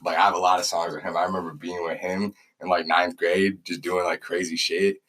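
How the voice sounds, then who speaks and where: A young man with a naturally very deep voice, chatting casually in slang as a podcast guest. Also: little pitch movement, fairly quick, a bit bright. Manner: laid-back slangy talk with laughs. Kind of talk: casual chat.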